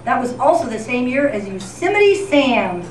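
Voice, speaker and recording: A woman with a somewhat high voice delivers a scripted tribute as if reading radio news. Her presentation is theatrical and comic. She is heard from a distance, with clear background noise in the room. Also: sing-song, normal speed. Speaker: event presenter. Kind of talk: scripted comedic tribute narration, golden-age radio newscast format. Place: live event in a senior center room. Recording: echoey, noisy room recorded from far off.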